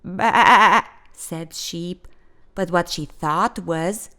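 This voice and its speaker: Female children's storytime reader. Expressive, unhurried delivery with a lively, swooping melody. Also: medium pitch. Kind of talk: expressive picture book read-aloud.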